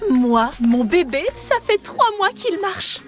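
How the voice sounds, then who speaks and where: A woman's voice: high-pitched, big pitch swings, slow, full character voice. A woman playing a boastful, doting young mother in a children's cartoon, with an affected, bragging delivery. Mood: proud. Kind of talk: French children's cartoon acting.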